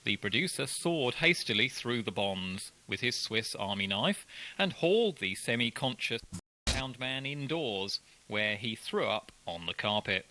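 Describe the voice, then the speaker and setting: A man's voice: mid-range voice, animated, measured pace, bright, forceful tone, a little hiss or hum, rough recording. A man reading articles aloud as scripted narration, as a radio presenter.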